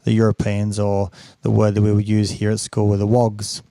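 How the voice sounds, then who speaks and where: A man's voice: lowish voice, monotone, normal speed, mellow, dry recording, clean studio sound. A middle-aged man hosting a podcast interview, reflecting aloud with many restarts and repeated words. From Australia. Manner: frequent repetitions and restarts, musing. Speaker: podcast host.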